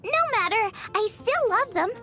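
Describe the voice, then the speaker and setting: A woman's voice: very high voice, animated, a little slow, pushed and bright, slight background noise, exaggerated voice. A woman voicing a cheerful, eager teenage girl chef in a video game, chatty and enthusiastic. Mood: perky, eager. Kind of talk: video game character voice lines. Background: music.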